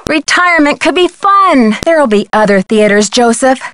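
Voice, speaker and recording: A woman's voice: high-pitched, animated, moderate pace, heavy background noise. An actress playing a plucky, determined young female amateur detective in a video game, polite and self-assured. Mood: firm, warning.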